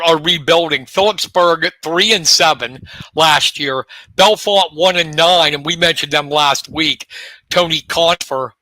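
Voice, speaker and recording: A man co-hosting a local high school sports talk show, chatting in a relaxed way and leading the rundown of teams. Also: no room sound, poor audio.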